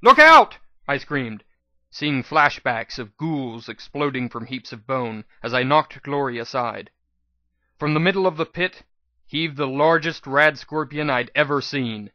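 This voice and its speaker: A man's voice: medium pitch, sharp tone, no room sound, lo-fi sound. A man giving a dramatic first-person scripted narration as the reader of a fan fiction audiobook.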